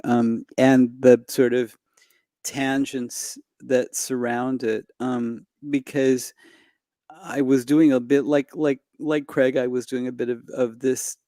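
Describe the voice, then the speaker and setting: A man's voice: mid-range voice, warm tone, no room sound. A middle-aged man from Los Angeles, a singer guest on a video call using a headset and desk mic. He speaks hesitantly, stopping and restarting his sentences. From Los Angeles, United States. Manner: hesitant, many fillers and restarts. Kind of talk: reflective conversation. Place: home room indoors.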